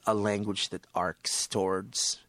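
A man whose native language is Tagalog, speaking as an author guest in a casual interview, animated and emphatic, often laughing. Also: fairly low pitch, takes it slowly, no room sound. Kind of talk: casual conversation.

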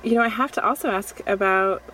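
A woman's voice: mid-range voice, fast talker, pushed and bright, slight background noise, rough recording. A woman hosting an interview who asks encouraging questions in a conversational way. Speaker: podcast host interviewer.

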